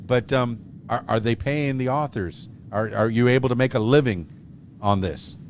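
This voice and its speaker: A man's voice: medium pitch, normal speed, a little hiss or hum. A man in his fifties hosting a podcast, chatting loosely and joking, often restarting his sentences. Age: 50s. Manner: rambling, jokey, with many restarts. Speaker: podcast host. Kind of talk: casual interview chat.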